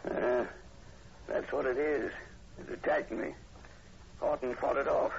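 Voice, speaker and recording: A kindly, genteel professor in a vintage radio horror drama. His voice sits at a middle pitch. The old recording is dull with faint noise. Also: unhurried, creaky. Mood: grave. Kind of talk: 1940s radio horror acting.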